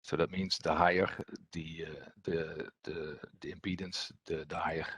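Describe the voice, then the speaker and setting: A man presenting a technical webinar as an engineer, with a husky voice and hesitant, repetitive phrasing. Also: a bass voice, level tone, normal speed, fairly bright tone, dry recording.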